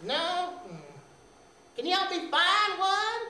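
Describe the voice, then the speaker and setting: High, silly cowboy puppet. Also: female voice, slow pace, bright, forceful tone, distant mic, full character voice. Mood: sheepish, hopeful. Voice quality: exaggerated Western twang. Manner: whooping, folksy, exclamatory. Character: silly, excitable, bumbling cowboy puppet named Billy. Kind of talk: children's puppet show acting. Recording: echoey hall, off-mic, background noise.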